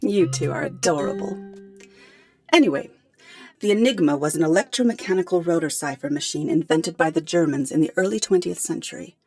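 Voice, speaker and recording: An actress playing a poised, articulate professor in a radio drama, explaining things in a measured, polite way. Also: female voice, fairly low pitch, lively intonation, a little mellow, soft background music. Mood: explanatory. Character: poised, articulate female professor hiring a detective.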